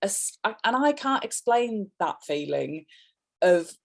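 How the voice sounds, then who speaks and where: A woman's voice: medium pitch, sing-song, measured pace, creaky. A woman from the North East of England hosts the interview. She talks in an eager, encouraging way. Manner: enthusiastic, encouraging listener. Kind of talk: casual interview chat.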